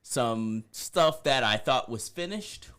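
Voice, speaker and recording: Teasing, animated male podcast co-host. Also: medium pitch, slow pace, clean tone. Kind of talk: casual banter.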